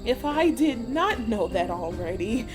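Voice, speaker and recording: A woman playing an elderly, knowing witch in a dark fairy tale audiobook, speaking in measured, cryptic and solemn storytelling. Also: on the high side, sing-song. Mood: cryptic, calm. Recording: music behind the voice. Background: music.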